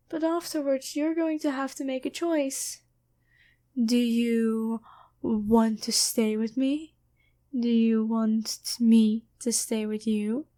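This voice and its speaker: A woman's voice: high-pitched, dark tone. A woman voicing a taunting female demon in a possessed girlfriend's body, teasing and coolly bargaining in an ASMR fantasy roleplay.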